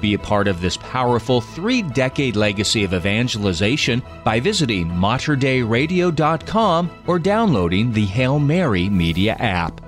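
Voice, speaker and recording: Lively male announcer over faint music. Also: mid-range voice, moderate pace, few pauses. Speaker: radio station announcer. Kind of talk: scripted promotional announcement.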